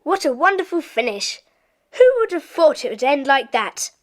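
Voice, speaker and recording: A woman's voice: high-pitched, animated, moderate pace. A woman playing a keen, clever schoolboy detective in an audiobook dramatization. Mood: delighted.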